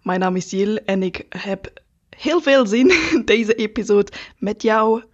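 A woman's voice: mid-range voice, unhurried, dry recording, dull audio. A woman with a Luxembourgish accent co-hosting a podcast in casual chat, laughing often and breaking off into restarts. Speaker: podcast co-host.

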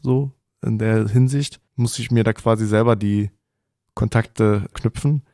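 Relaxed bilingual man in his thirties. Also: on the low side, no room sound, studio quality. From Denmark and Germany. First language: Danish and German, raised bilingual. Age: 30s. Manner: loose, rambling storytelling with many fillers. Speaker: podcast guest. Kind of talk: casual podcast conversation.

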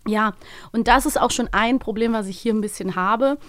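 A woman's voice: on the high side, moderate pace, fairly bright tone, no room sound. A young woman hosting a podcast, chatting casually with a co-host. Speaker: host of an audio drama review podcast.

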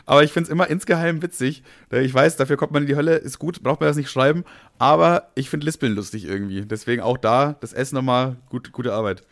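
A man's voice: mid-range voice, brisk, vocal fry. A young man co-hosting a podcast in casual, humorous chat, slangy and rambling. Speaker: podcast co-host.

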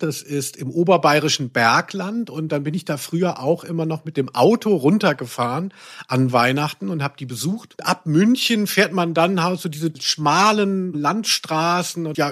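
Male podcast host, middle-aged, slightly high voice, reflective and rambling chat about audio dramas. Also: a bit bright. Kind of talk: casual discussion of radio plays.

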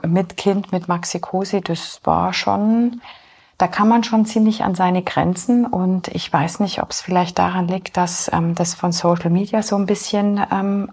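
Lowish husky woman, 40s, kinda halting, lots of ums. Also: darkish tone. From born in Poland, grew up in Germany. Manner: hesitant, many filler pauses. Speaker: guest. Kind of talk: personal interview talk.

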